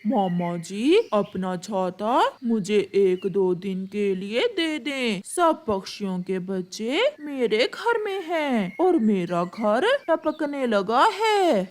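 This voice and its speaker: A woman's voice: mid-range voice, expressive, slow, few pauses, voice pushed down. A woman voicing a kind, dutiful, self-sacrificing male crow in a children's cartoon. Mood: earnest.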